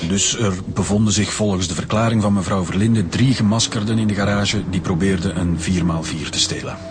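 Dry, official Flemish male police director played by an actor, lowish voice, sceptical. Radio drama with music. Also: a little fast, close to an everyday voice.

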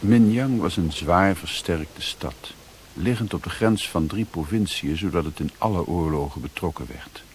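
A sober, reflective adventurer, acted by a man, narrates his journey for a radio drama. The voice is low and measured, with clear tape hiss on the old recording. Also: darkish tone, close to an everyday voice. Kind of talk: scripted first-person radio play narration.

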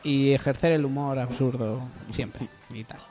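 A man's voice: medium pitch, fairly even intonation, measured pace, warm tone, soft background music. A man co-presenting a comedy radio show in casual, joking banter.

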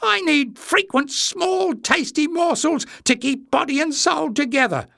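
A man voicing a whining, cowardly little schemer in a shrill, squeaky, spluttering storybook voice. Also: a little slow, vocal fry, pushed and bright, no room sound, clean studio sound, exaggerated voice. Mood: whimpering self-pity. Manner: spluttering, whining, melodramatic pleading. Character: whining, cowardly small male schemer, screechy and wheedling. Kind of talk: acted character voice in audiobook narration.

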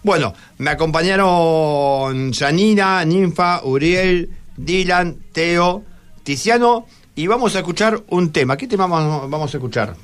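A man with an Argentine accent hosting an informal radio conversation, speaking in halting phrases and repeating words. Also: a little high, animated. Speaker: radio host. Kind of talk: informal radio talk with students.

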